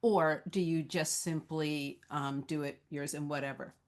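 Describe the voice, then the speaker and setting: Low-pitched woman moderating a discussion. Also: fairly even intonation, unhurried.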